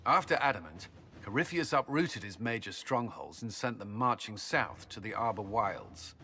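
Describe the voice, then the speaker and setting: A man's voice: lowish voice, expressive, moderate pace, pushed and bright. A man playing a stern, confident military commander in a fantasy game, giving a firm, businesslike briefing. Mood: assured.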